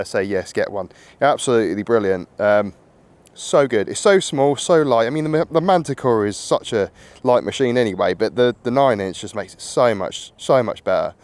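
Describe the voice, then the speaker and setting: A man with a husky voice from a cold, chatting casually while metal detecting in an open field outdoors. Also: mid-range voice, a little mellow. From England. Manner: chatty, rambling, upbeat, laughs often. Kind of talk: casual outdoor vlog chat.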